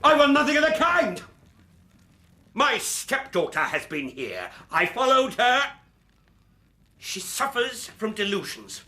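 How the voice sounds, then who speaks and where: A man's voice: very high voice, wildly swooping pitch, slow, pauses often, pushed and bright, full character voice. A man acting a huge, menacing ageing bully of a doctor in a radio drama, blustering and bellowing in strangled rage. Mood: menacing, contemptuous.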